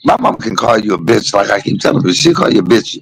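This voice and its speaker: Male host, low and husky, animated delivery, playful teasing. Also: fast talker, poor audio. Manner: joking, teasing, bursts of laughter. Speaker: podcast host. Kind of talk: casual chat. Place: hospital room.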